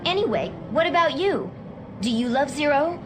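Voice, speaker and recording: A woman's voice: sits high, big pitch swings, normal speed, noisy background. A woman playing an earnest, hot-tempered young rebel in an anime audio drama, defensive and easily flustered. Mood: flustered, irritated. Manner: indignant, flustered, insistent. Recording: music behind the voice.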